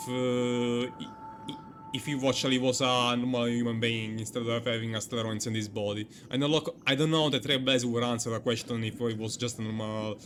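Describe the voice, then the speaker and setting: Male game streamer, bright voice, casual, halting, thinking aloud, faint music behind. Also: mid-range voice. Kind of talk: casual live gameplay commentary.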